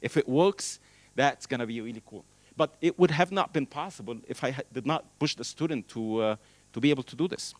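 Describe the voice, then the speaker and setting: A middle-aged man originally from Egypt, a native Arabic speaker, giving an animated keynote talk on stage with a handheld mic, using many fillers. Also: mid-range voice, a little fast, no echo. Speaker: keynote speaker, engineering professor. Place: large hall, on stage at a podium. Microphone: handheld microphone.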